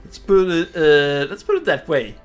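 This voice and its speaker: A man's voice: fairly high pitch, big pitch swings, normal speed. A man doing casual let's play commentary, rambling as he thinks aloud. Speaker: gaming streamer. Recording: faint game music behind.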